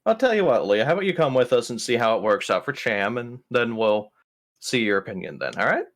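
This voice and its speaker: A man's voice: medium pitch, sing-song, a little fast. A man playing a tabletop roleplaying game, chatting casually and colloquially with the group. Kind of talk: casual chat and in-character banter.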